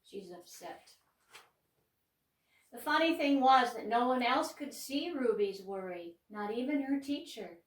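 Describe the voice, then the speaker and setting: Middle-aged woman, Upper Midwest American accent, unhurried and expressive children's storyteller, recorded off-mic in an echoey room. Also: on the high side, recorded from a distance. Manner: gentle, slow and expressive, pausing to explain pictures. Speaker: children's librarian hosting storytime. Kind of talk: reading a picture book aloud to children. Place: church room. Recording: roomy sound, mic set back.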